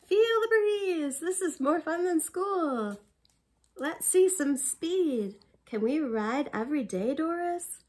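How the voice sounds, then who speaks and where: Storybook schoolchild played by a woman: young, eager, exclamatory, high voice with lively swings. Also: slow pace. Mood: excited. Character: excitable young schoolchildren in a picture book.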